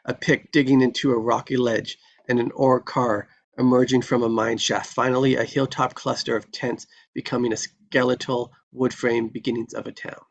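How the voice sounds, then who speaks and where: A man with a gravelly voice reading scene descriptions from a screenplay aloud at a live table read. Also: medium pitch, little pitch movement, moderate pace, few pauses, a little hiss or hum. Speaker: reader of stage directions at a table read.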